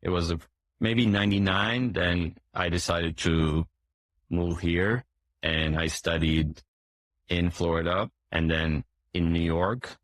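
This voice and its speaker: A man in his forties who grew up in Turkey, a boat dealership owner answering interview questions in a hesitant way, with many fillers and restarts and frequent throat clearing. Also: low-pitched, fairly even intonation, takes it slowly, no room sound, poor audio.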